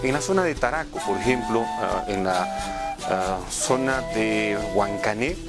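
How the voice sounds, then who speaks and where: A man's voice: lowish voice, animated, measured pace. A man with a Peruvian accent giving an explanatory spoken presentation, often repeating phrases as he goes. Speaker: radio administrator presenting a project. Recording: loud music behind the voice. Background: instrumental music.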